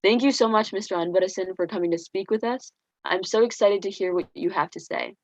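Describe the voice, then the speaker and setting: A woman emceeing an event, reading an earnest, clearly enunciated scripted introduction. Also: medium pitch, a little fast, dry recording, dull audio.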